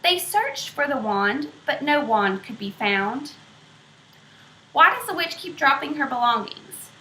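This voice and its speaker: A woman reading a picture book aloud to children in an expressive way, stopping to ask them questions. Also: high voice, a little hiss or hum. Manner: expressive, pausing to quiz young listeners. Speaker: storybook reader. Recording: roomy echo.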